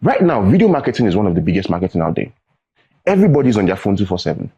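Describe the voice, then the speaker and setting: Nigerian guy, kinda low and husky, earnest explainer, keeps saying right? you know. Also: big pitch swings, very fast, mellow, muffled recording. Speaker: tech training founder, guest. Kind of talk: studio interview.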